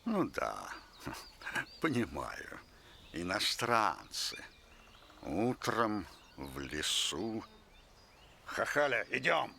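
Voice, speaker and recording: A man voicing a suspicious, wary rustic man in an animated film, deliberate and gravelly. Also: fairly low pitch, a little hiss or hum, full character voice. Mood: commanding. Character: suspicious, slow-spoken rustic man with a pet.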